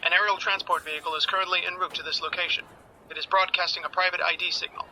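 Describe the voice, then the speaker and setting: An actor playing an emotionless tactical lookout in a science fiction audio drama, formal and precise. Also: male voice, high voice, level tone, fast, pushed and bright, a little hiss or hum, heavily acted, low-quality recording. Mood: detached.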